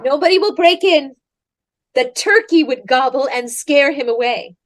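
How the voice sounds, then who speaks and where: Actress: squeaky whiny wife, nags and needles, radio comedy. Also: female voice, moderate pace, natural voice. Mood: nagging. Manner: whiny, plaintive, teasing. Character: whiny nagging wife at bedside. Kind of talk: comic radio play acting.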